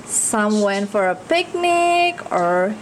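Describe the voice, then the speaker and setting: A woman's voice: medium pitch, big pitch swings, takes it slowly, a little hiss or hum. A woman with an Indonesian accent reads a children's picture book aloud expressively, engaging young listeners with questions and exclamations. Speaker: children's storyteller.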